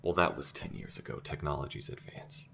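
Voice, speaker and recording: A man's voice: extremely low pitch, fairly even intonation, a little fast, bright, forceful tone, a little hiss or hum, heavily acted. A man playing a bitter, fiery male alien war veteran in a sci-fi audio roleplay, speaking bluntly. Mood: wary. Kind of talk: ASMR-style scripted sci-fi roleplay acting.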